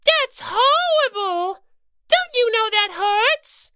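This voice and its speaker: Whiny little girl rabbit mascot, squeaky, extremely high, bright, plaintive, audiobook character. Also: animated, takes it slowly, slight background noise, full character voice. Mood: tearful, whining. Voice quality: squeaky, childlike. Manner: plaintive, wailing, indignant.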